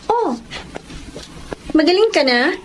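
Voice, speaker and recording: Radio drama casting: young Filipino woman employee, high voice, outspoken, emotive, faint noise underneath. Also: animated, slow, full character voice. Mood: resentful. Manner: emotive, complaining to colleagues. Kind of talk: Tagalog radio drama acting.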